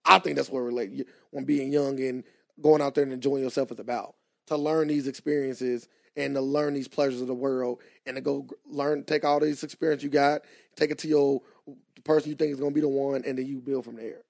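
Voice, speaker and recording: A man, co-hosting a casual podcast, animated and quick to laugh as he makes his points. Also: medium pitch, vocal fry, dry recording, studio quality. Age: 20s. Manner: animated, laughs often, drawn-out emphatic points. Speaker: podcast co-host.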